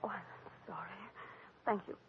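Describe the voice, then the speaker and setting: A woman's voice: normal speed, very noisy, lightly acted. An actress playing a coy, anxious young wife of a rich man, hesitant and refined, in a 1940s-style radio drama. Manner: hesitant, breathy, faltering, refined. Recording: very muffled, phone-like old radio recording.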